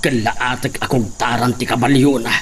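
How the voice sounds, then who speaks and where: A man's voice: mid-range voice, steady tone, moderate pace, a little hiss or hum, close to an everyday voice. A man playing a wronged old labourer and father in a radio drama, earnest, resolute and set on revenge. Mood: aggrieved, resolute.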